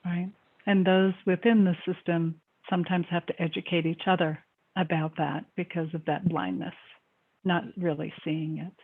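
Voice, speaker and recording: Low-voiced woman moderating over a call line. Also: steady tone, moderate pace. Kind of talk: webinar moderating, reading audience questions. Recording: narrow phone-like online call audio.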